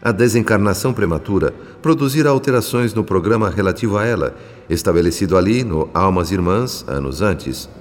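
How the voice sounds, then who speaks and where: Deep Brazilian male narrator in long reverb. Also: animated, a little fast. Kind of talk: scripted dramatic radio drama narration. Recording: long reverb, music bed behind.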